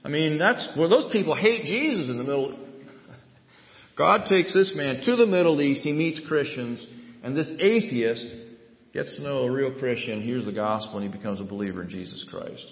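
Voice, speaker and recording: A man's voice: mid-range voice, lively intonation, normal speed, gravelly. A man preaching a sermon in a church, emphatic, often asking rhetorical questions and acting out scenes, in an echoey, muffled hall recording. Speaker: pastor.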